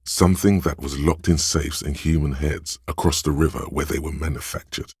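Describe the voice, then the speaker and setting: An actor voicing a man's brooding, tactile inner voice in a game, narrating with husky gravel in a deliberate, dramatic, literary manner. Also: extremely low pitch, steady tone, a little mellow, dry recording, clean studio sound, exaggerated voice. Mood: grave, measured.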